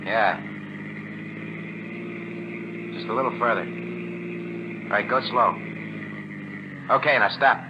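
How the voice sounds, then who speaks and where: An actor playing a desperate, greedy killer in a 1940s radio thriller, with rough, slangy, excitable talk. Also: male voice, lowish voice, measured pace. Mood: wary. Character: desperate, greedy killer hunting for a stolen diamond. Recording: dull, faintly noisy 1940s radio recording.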